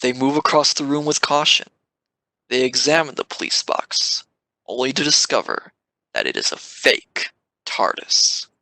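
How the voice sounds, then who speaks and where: A narrator for a live amateur radio play, with slow, careful delivery and a bright, forceful tone. The sound is dry and close, and the reading leans into sudden turns. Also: mid-range voice, expressive, no echo, low-quality recording. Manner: dramatic, stressing sudden events.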